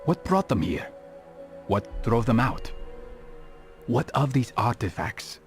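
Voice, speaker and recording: Game hero: brooding, watchful assassin, medium pitch, measured delivery, faint music. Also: male voice, sing-song. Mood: brooding, reflective. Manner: measured, serious, formal. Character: sober, earnest, brooding male assassin, reflective and watchful. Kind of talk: dramatic video game acting.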